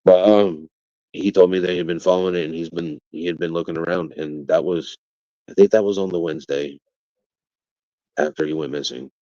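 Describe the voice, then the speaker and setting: A man speaks in a very low, deep register, and his answers stop and restart as he searches for words. When he pushes back he turns blunt and defiant. The audio is dry and slightly muffled, with a lo-fi edge. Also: steady tone, dry recording. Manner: halting and rambling, then rising to defiant, forceful outbursts. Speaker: father of a missing child, interviewee. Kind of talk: interview answers.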